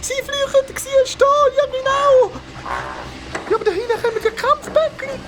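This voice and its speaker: Squeaky, fretful little Swiss German creature. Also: female voice, steady tone, normal speed, very noisy. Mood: frantic. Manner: breathless exclaiming, repeats herself. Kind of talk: children's audio drama acting.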